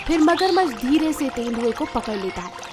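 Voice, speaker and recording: A woman's voice: high-pitched, big pitch swings, moderate pace, noisy background. A woman giving scripted narration of a children's story.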